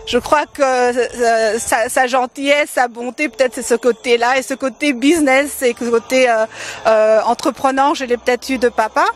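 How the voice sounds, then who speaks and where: A woman from Congo in her thirties talks through an interview, her voice sitting a little high. She stops often on fillers and lets small laughs slip between phrases. She speaks into a handheld mic held close by the interviewer. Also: fairly even intonation, normal speed. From Democratic Republic of the Congo. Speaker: interview guest, event organizer. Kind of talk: casual interview answers. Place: decorated holiday light display, indoors.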